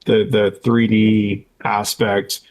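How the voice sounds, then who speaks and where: Male podcast co-host, conversational, slightly halting delivery, everyday register. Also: lowish voice, flat delivery, a little mellow. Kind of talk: casual chat about video games and films.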